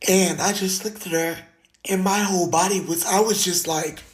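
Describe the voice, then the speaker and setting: Low raspy woman with a lisp. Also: fairly even intonation, moderate pace. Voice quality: slight lisp. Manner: earnest, heartfelt, plain-spoken. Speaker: singer sharing her story. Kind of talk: personal testimony to camera. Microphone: phone video.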